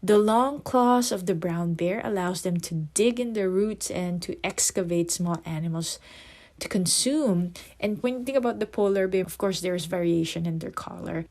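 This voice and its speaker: A woman's voice: fairly low pitch, moderate pace, darkish tone. A middle-aged woman from the Philippines with a Filipino accent, hosting a podcast and explaining ideas in a friendly teaching tone. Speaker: podcast host and psychotherapist. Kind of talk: casual podcast talk.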